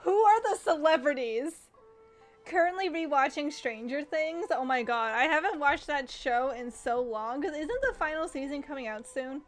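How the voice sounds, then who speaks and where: A young woman streaming a game, chatty and excitable, thinking aloud as she plays. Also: high-pitched, moderate pace, soft background music. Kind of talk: casual gameplay commentary.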